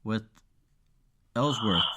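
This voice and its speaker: A podcast host with a cold, sounding nasal and congested, chatting bluntly and offhand. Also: male voice, on the low side, expressive, extremely slow, bright, forceful tone, slightly rough. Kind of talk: casual chat.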